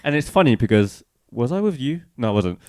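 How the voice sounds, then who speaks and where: A man's voice: medium pitch, very animated, a little fast, darkish tone, smooth, no echo. Guy telling a story, voice bouncing around, dry close sound. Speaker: podcast co-host. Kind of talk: casual chat.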